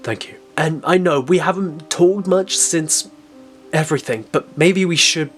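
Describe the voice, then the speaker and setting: A man takes the part of a young woman in an audio drama. The delivery is gentle, tentative and full of guilt, over light music. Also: fairly high pitch, a little slow. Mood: apologetic.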